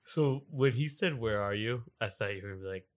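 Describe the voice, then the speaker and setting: A man's voice: mid-range voice, a little fast. A man chatting casually and laughing often as a player in a tabletop game. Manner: giggly, rambling.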